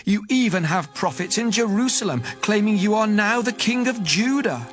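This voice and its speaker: A sly political schemer in an audio Bible drama. His voice sits high and swings widely in melody, insinuating and theatrical, with music underneath. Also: expressive, normal speed. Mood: sly, accusing. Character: scheming male enemy governor reading a threatening letter, sly and insinuating.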